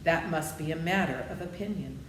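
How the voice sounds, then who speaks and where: A woman's voice: low voice, fairly quick, natural voice. An earnest tin woodman from a storybook, read by a woman, speaks with polite resolve. The sound is roomy and faintly noisy.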